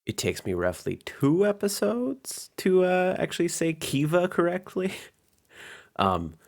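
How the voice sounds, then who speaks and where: A man's voice: sits high, wildly swooping pitch, a little slow, creaky. A man working as game master, narrating and commenting casually in an informal, self-deprecating way.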